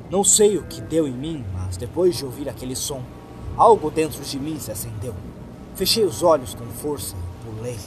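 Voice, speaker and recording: A Brazilian man reading a horror story in a dramatic first-person narration, with deliberate, suspenseful phrasing. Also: a little high. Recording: music behind the voice. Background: background music.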